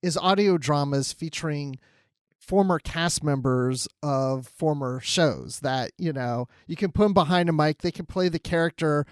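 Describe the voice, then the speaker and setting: A man co-hosting a podcast in casual chat, telling things in an animated way and voicing his own reactions. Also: a little high, unhurried, clear, resonant tone, dry recording, studio quality. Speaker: podcast co-host.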